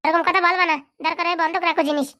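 Female voice actor as a scheming, fast-talking village boy for a comedy cartoon; very bright, pushy tone. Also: very high voice, fairly even intonation. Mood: wheedling. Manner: bossy, fast-talking, wheedling. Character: cocky scheming village boy, bossy hustler. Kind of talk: comic cartoon voice acting.

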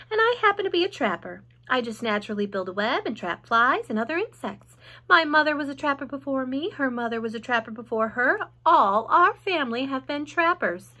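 A woman voicing a clever, bold female spider who is matter-of-fact and lively, with sudden high exclamations and hushed asides. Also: full character voice.

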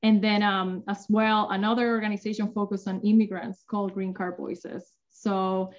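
A woman's voice: medium pitch, level tone, normal speed. Dominican-born young woman on a video call. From Dominican Republic. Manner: earnest, with many fillers. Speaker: panelist. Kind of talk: webinar introduction. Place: home office with bookshelves. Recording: muffled video call audio.

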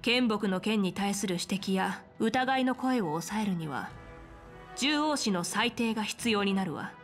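An actress playing a confident female military general in a fantasy game, speaking in an assertive, measured and frank manner. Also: mid-range voice, lively intonation, soft background music, lightly acted. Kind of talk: dramatic Japanese game voice acting.

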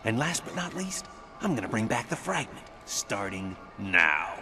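Grim game vigilante, deep, wisecracking, determined, noir style, heavy music underneath. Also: male voice, very animated, measured pace. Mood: grim resolve. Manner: hard-boiled, wry, determined. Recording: loud music behind the voice.

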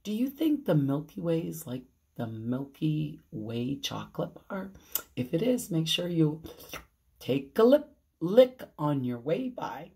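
A middle-aged woman reading a bedtime story to children, expressively, with theatrical drawn-out emphasis and dramatic pauses. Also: low-pitched, sing-song, gravelly, mellow. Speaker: pastor reading children's stories. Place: small room at home, indoors. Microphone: phone at arm's length.

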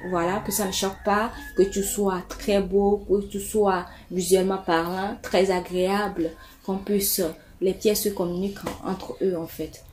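A woman's voice: medium pitch, little pitch movement, unhurried, a little mellow, slight background noise. A woman giving casual, repetitive home decorating advice to her viewers, with many fillers and restarts. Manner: chatty, repeats words, many fillers.

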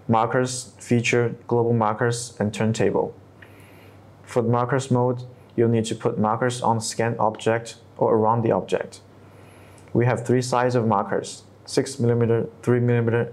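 A man in his thirties narrating a scripted software tutorial step by step, with non-native English phrasing. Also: medium pitch. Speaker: tutorial presenter.

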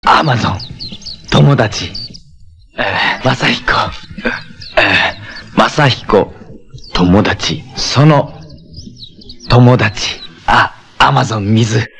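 An actor playing a wild young jungle-raised hero who speaks halting, broken phrases in a 1970s TV action drama. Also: male voice, medium pitch, vocal fry, a bit bright, a little hiss or hum, heavily acted. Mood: halting, earnest. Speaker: actor in a TV superhero series.

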